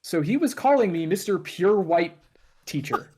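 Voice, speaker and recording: A man chatting casually as a guest, relaxed and careful as he explains. He tells his stories at an easy, measured pace. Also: high-pitched. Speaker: guest YouTuber. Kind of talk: casual conversation.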